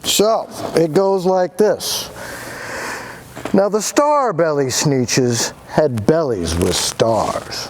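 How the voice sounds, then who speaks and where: A man's voice: a little high, extremely slow, background noise. A male teacher giving a dramatic read-aloud of a picture book to students. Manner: animated, dramatic reading voice.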